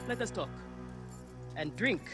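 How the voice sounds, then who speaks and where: A woman plays a boisterous female gladiator in a game cutscene. She talks slowly with big, lively swings of pitch, hearty and bold, under loud music. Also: natural voice. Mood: delighted. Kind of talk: video game cutscene acting.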